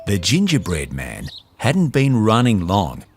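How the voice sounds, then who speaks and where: A man's voice: lowish voice, wildly swooping pitch, measured pace, faint music behind. A man narrating a scripted children's story as its storyteller.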